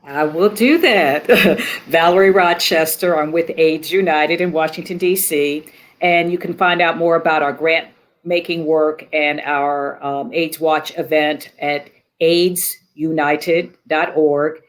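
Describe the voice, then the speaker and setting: A woman with a low voice speaks as a guest on a panel. She shares details of her nonprofit in a conversational manner. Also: fairly even intonation, slow. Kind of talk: conversational organization plug.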